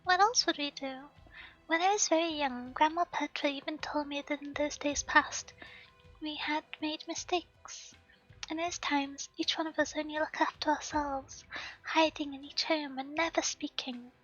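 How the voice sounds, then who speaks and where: A hoarse woman reading the lines of an earnest, gentle young village girl from a game. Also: high voice, fairly even intonation, moderate pace. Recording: slightly dull stream audio, faint game music.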